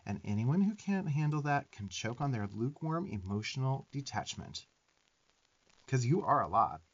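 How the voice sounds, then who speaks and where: A sarcastic little demon twink, played by a man, bosses the listener around with affection in an ASMR roleplay. His mid-pitched young voice swings up and down with sassy emphasis and blunt swearing. The sound is a little dull. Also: big pitch swings, moderate pace, slightly rough. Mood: sassy, affectionate. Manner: sassy, emphatic, profane tough-love delivery.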